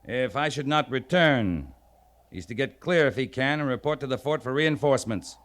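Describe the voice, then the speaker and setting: An actor playing a resolute American army captain in an old-time radio western, speaking in a measured, authoritative way. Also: male voice, mid-range voice, animated, a bit bright, natural voice. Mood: commanding. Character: resolute, plain-spoken U.S. Army cavalry captain in the Old West. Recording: vintage radio drama recording.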